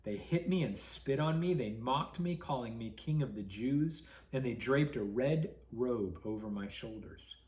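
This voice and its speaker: A man reading a story to children as a pastor would, dramatic and expressive, with deliberate pauses. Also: mid-range voice, roomy sound.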